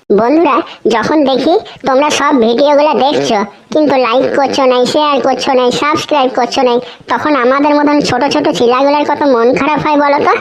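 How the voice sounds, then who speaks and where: A woman's voice: high voice, natural voice. A cheeky cartoon schoolboy played by a woman. He is sure of himself and speaks in a sing-song, as if reciting in class, repeating words with relish. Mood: chatty, pleading. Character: cheeky, overconfident schoolboy reciting absurd crocodile essays. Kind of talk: comedy cartoon voice acting.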